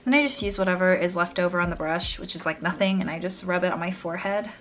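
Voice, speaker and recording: A woman's voice: fairly low pitch, fast talker, a crowd in the background. A young woman chatting casually through a makeup tutorial, a little sniffly, rambling and trailing off mid-sentence. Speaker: beauty vlogger.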